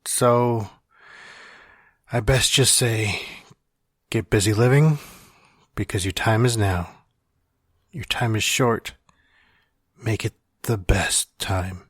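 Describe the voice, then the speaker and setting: A man's voice: fairly low pitch, slow, long pauses, breathy edge, dry recording, studio quality. A man playing a wry, confessional dying man reading out his farewell letter in an audio drama. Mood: gentle, wry farewell. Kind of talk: dramatic monologue in fiction podcast.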